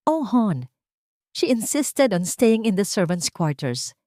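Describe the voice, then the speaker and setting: A woman's voice: mid-range voice, sing-song, slow pace, mellow, no echo, clean studio sound. A woman voicing a scheming, two-faced wealthy wife in an audio drama, mixing English and Tagalog. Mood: sweet, fake concern. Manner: code-switches between English and Tagalog.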